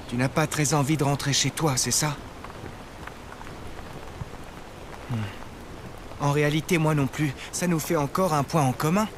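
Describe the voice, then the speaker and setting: An actor playing a gentle, teasing teenage noble boy in an animated romance dub, speaking softly and attentively. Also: on the high side, background noise, lightly acted. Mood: gentle, confiding.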